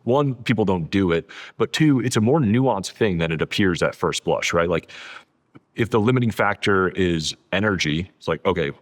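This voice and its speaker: A man's voice: deep voice, lively intonation, normal speed. A man talking as an interview guest, explaining casually with lots of "like" and stacked adjectives for emphasis. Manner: peppers sentences with "like", emphatic repetition of adjectives. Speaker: podcast guest, author.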